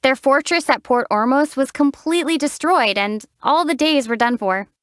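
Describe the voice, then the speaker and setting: A wry young traveler heroine. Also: female voice, sits high, moderate pace, no echo. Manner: dry teasing explanations and sharp battle shouts. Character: young female traveler hero, calm and wry. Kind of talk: video game voice acting. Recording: dry close studio voice.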